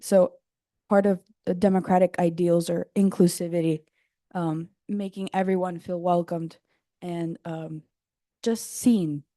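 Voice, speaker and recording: A woman's voice: on the low side, mellow, dry recording, studio quality. A young woman in the audience asks a panelist a question, phrasing it casually and searching for words. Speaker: audience member asking a question. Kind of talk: conference Q&A question.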